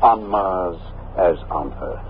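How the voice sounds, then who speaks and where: Very deep old prophetic preacher. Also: male voice. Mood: fervent, prophetic. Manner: oratorical, measured, prophetic. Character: gentle, fervent old hermit preacher with biblical speech. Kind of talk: 1950s radio drama acting. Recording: dull, noisy old radio recording.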